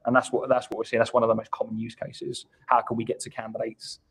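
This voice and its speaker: A man with a husky voice explaining his points in a conversational business interview, emphatic and often repeating words as he builds them. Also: medium pitch, monotone, fast, a bit bright. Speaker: guest account executive at a software company. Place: online live video call.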